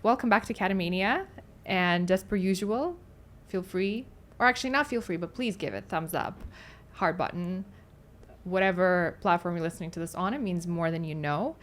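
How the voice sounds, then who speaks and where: A woman's voice: on the low side, lively intonation, moderate pace. A woman from Moldova whose first language is Russian, hosting a podcast in a chatty, rambling way. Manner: chatty, rambling with frequent "like" and "you know". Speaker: podcast host. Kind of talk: casual interview chat.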